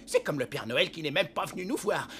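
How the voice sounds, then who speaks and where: A man's voice: a little high, big pitch swings, brisk, pushed and bright. A man voicing a vain, grumbling reindeer in a cartoon, complaining in indignant exclamations. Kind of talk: animated cartoon dialogue. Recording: clear noise behind the voice.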